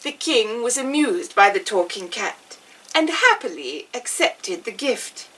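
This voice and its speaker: A woman narrates a fairy tale in a theatrical storyteller's voice, highly animated and unhurried. Her tone is bright, and she sounds a little away from the microphone. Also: husky, recorded from a distance, poor audio. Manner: theatrical, measured. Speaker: storyteller playing an amusing witch.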